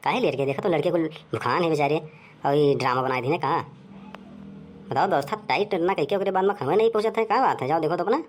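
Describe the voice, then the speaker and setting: A man's voice: a little high, quick pace, a little hiss or hum, close to an everyday voice. A man playing a grumbling village man in a comic cartoon, conversational and rustic, with an Awadhi accent.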